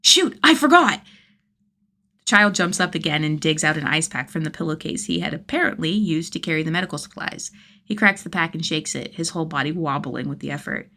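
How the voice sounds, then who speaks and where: Female narrator for fan fiction audiobook, low register, expressive storytelling. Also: fast talker, gravelly. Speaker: podfic narrator.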